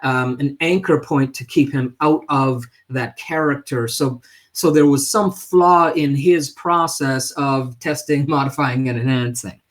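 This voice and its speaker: A man hosting an unscripted livestream talk, speaking haltingly with repeated words and frequent check-in questions. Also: medium pitch, fairly even intonation. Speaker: livestream host and presenter.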